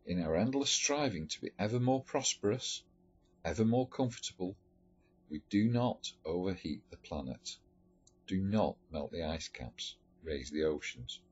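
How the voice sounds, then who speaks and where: Deep, husky male storyteller. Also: a little mellow. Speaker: storyteller reading aloud. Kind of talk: expressive read-aloud of a letter story. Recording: dull lo-fi recording with music behind. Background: background music.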